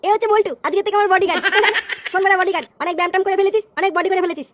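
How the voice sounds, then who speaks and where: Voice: very high-pitched, fairly even intonation, very fast, fairly bright tone. A performer voicing a brash, bossy, foul-mouthed cartoon character in a comic dub, swaggering and scolding. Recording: music behind the voice.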